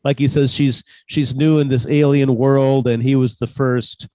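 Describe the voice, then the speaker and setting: A man's voice: medium pitch, fairly even intonation, measured pace, warm tone, dry recording. Man thinking aloud in casual group chat. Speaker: cast member in a post-show discussion.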